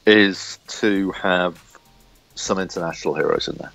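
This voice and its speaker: A man being interviewed as a comic book writer, chatting casually in a halting way and often repeating words as he thinks aloud. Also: sits low, fairly even intonation, fairly bright tone, no room sound, faint music behind. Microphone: smartphone recorder. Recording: dull lo-fi phone recording.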